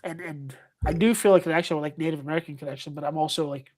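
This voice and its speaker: A man's voice: on the high side, steady tone, rapid-fire, darkish tone. A man from Puerto Rico, a podcast guest, talking casually and hesitantly, with many restarts and ums. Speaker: guest, paranormal investigator. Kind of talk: casual chat.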